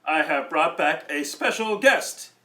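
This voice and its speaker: A friendly, showy dragon from a children's puppet show, played by a man, swooping from high squeals to low rumbles. He talks slowly and grandly, in an echoey room. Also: expressive, pushed and bright. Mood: welcoming. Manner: dramatic, drawn-out, showman-like.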